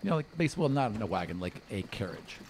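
A man's voice: on the low side, sing-song, brisk, a little mellow, slight background noise. A man in his thirties narrating a tabletop game as game master, descriptive and searching for words, on a close studio mic. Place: small podcast studio. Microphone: close stand microphone.

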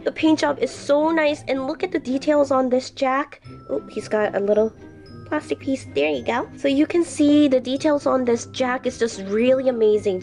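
A woman's voice: high-pitched, a little mellow. A woman unboxing toy figures in a casual vlog, gushing and repeating words for emphasis while she talks through a lingering flu. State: recovering from flu, breathing heavily.

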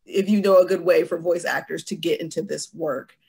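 Woman, thirties, heavy vocal fry, husky. Casual panel moderator on a video call. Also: lowish voice, fairly even intonation, fairly quick, darkish tone. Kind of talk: casual moderating, relaying audience questions. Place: room at home, indoors.

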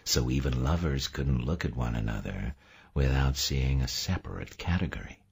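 Man voicing a soft, hushed, soothing woman. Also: extremely low pitch, lively intonation, moderate pace, creaky, slightly rough, pitched below the performer's own voice, full character voice. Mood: gentle, earnest. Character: gentle, grave woman soothing a weeping man. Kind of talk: audiobook character acting.

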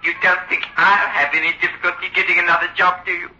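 An actor playing a sinister living ventriloquist's dummy in an old radio horror play, defiant, needling and menacing. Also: male voice, sits high, flat delivery, fast talker, bright, forceful tone. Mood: defiant, taunting. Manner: taunting, needling. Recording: dull lo-fi old radio recording.

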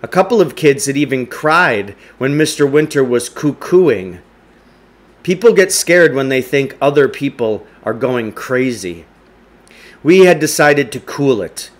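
Man, middle-aged, medium pitch, story narration, recorded at home. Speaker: teacher reading aloud. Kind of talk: audiobook-style story reading. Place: indoors, home living room with wood stove.

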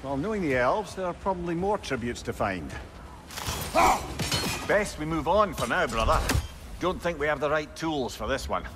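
An actor with a Scottish accent playing a wry, learned, chatty old companion in a video game. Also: male voice, on the high side, expressive, moderate pace, long pauses, loud music behind the voice, close to an everyday voice. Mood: knowing. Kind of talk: video game dialogue acting. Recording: noisy game ambience behind voice.

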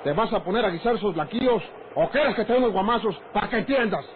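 A high-voiced Mexican ranch man in an old radio serial, bossy and quick to anger. He talks in a gruff, rustic, threatening way. The sound is thin and tinny with heavy noise. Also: expressive. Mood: irritated, threatening. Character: bossy, short-tempered ranch man keeping secrets from his woman. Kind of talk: old radio drama acting.

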